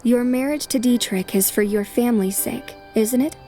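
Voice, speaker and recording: A woman voicing a poised, self-assured young noblewoman in an anime fan dub, formal, calm and resolute. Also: a little high, moderate pace, soft background music, lightly acted. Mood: calm resolve.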